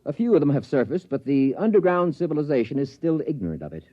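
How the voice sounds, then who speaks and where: Earnest, expressive humane professor. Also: male voice, fairly high pitch, fast. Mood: thoughtful. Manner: earnest, impassioned. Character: earnest, humane male professor pleading for peace. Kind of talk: 1950s radio drama acting. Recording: dull old radio recording.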